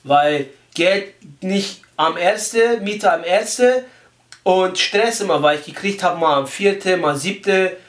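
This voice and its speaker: Guy doing a naive turkish immigrant on a prank call, high voice, broken halting grammar, echoey room. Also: a bit bright. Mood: muddled, insistent. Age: young adult. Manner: halting, ungrammatical, repeats himself. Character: naive Turkish immigrant man, muddled and persistent job seeker. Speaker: prank caller. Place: small room indoors, phone on speaker.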